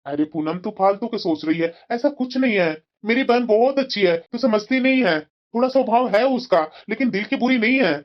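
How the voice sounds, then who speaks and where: A woman's voice: on the high side, expressive, fairly quick, hardly any pauses, close to an everyday voice. A woman voicing a placating, reasoning husband and brother in a family cartoon drama. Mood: dismissive, soothing. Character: placating adult man, husband and protective brother. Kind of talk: Hindi family drama storytelling. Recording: dull, lo-fi sound.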